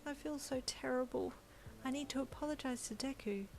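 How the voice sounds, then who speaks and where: A gentle, emotional young expectant mother speaks in a high, soft voice, as a woman reading the story puts her on. She sounds quiet, close to tears and deeply grateful in this storybook reading. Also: brisk, creaky. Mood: worried, soft.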